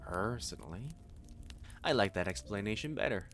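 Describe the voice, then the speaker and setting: A man as a low-voiced, playfully doting catboy waiter. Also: animated, normal speed, soft background music. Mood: tender, pleased.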